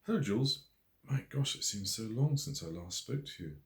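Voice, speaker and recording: A man's voice: lowish voice, expressive, normal speed, a little mellow, roomy sound, pitched above the performer's own voice. A man voicing an affectionate, teasing woman in an audiobook story, talking in a fond, wry way. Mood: fond, apologetic. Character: affectionate, teasing woman chatting with lifelong friend.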